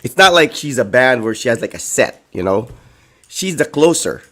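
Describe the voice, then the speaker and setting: Man, hoarse voice, rambling casual co-host, medium pitch. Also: big pitch swings, normal speed, a bit bright. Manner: rambling, heavy on "like" and "you know". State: hoarse, recovering from a lost voice. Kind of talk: casual chat.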